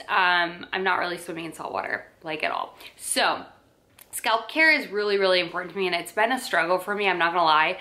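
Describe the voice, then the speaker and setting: A woman's voice: fairly low pitch, pushed and bright. A young woman chatting to camera about her monthly favorites, casual and full of filler likes and ums, filmed close up in a bedroom. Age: young adult. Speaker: lifestyle vlogger. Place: bedroom with dresser and plant, indoors.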